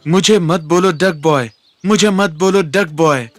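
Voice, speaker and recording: A man's voice: fairly high pitch, unhurried, soft background music, natural voice. A man voicing a proud, touchy farmer in a cartoon, earnest and firm. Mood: irritated. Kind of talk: animated cartoon dub.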